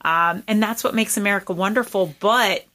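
A woman's voice: medium pitch, normal speed, sharp tone. A woman in her forties, a native Farsi speaker, talking earnestly and emphatically as a guest in an interview, with frequent "um" fillers. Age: 40s. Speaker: political candidate guest. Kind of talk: conversational interview.

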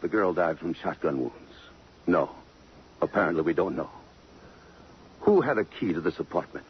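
An actor playing a tough, brooding police detective in 1950s American radio drama, curt and hard-boiled. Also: male voice, sits low, animated, normal speed. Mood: startled, urgent. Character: tough, brooding police detective lieutenant smitten by a murder victim. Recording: muffled, noisy 1950s radio recording.

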